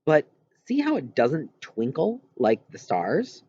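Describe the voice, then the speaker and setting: A man reading an audiobook, voicing an earnest boy who eagerly explains things and has a quietly wistful streak. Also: a little high, huge pitch swings, slow pace, husky, dull audio, voice pushed up, full character voice. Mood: eager, explaining. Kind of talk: audiobook character dialogue.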